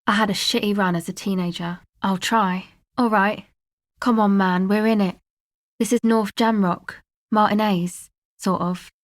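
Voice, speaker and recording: A young woman from a video game, played by an actress, streetwise and guarded. She talks in a loose, slangy way with a dry, offhand delivery and a middling pitch. She comes across as a laid-back slacker who keeps people at a distance. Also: steady tone, measured pace, lightly acted. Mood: wry, guarded. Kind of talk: video game character dialogue, conversational.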